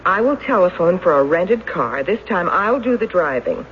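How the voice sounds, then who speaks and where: An actress with an American accent playing a loving, practical, skeptical wife in a radio drama, talking briskly, dryly and coaxingly. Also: female voice, fairly low pitch, very animated. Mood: exasperated, fond. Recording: muffled, faintly noisy 1970s radio recording.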